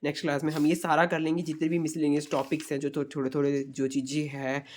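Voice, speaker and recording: A hoarse young man with a sore throat gives an exam revision lecture online, explaining in a repetitive way and calling his viewers friends. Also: on the high side, level tone, fast, darkish tone, slight background noise. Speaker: online tutor.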